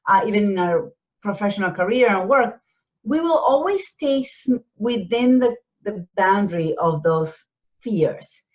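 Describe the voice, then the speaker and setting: Argentine-born woman, dull lo-fi sound. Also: medium pitch. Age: 40s. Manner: explanatory, frequent rhetorical tags like "right?". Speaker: panelist, business coach. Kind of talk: conversational interview answers.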